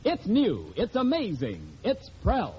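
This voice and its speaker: A muffled old broadcast recording features a male radio announcer in 1940s style. His fairly high voice moves with lively swings through scripted introductions and sponsor copy, with faint music. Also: takes it slowly, slight background noise.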